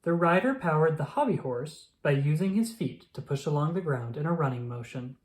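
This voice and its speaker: A narrator reading a children's picture book aloud in a clearly enunciated, instructional storytelling manner. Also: mid-range voice, expressive, brisk.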